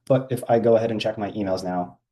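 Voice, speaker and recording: Guy doing a casual software demo, low mellow voice, explains it step by step. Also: fairly even intonation, quick pace. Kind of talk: informal walkthrough of a software screen.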